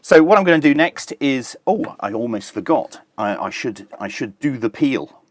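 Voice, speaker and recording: A man reviews a piece of kit in a casual, hands-on way. His voice sits at an average male pitch and is recorded very dry, with no room sound. He explains things plainly, step by step, with a streak of dry humour. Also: very animated, moderate pace, no room sound. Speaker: product reviewer.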